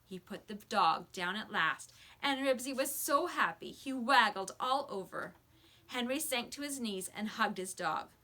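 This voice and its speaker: A woman reading a children's book aloud with expressive storybook narration, like a teacher to a class. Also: fairly high pitch, measured pace.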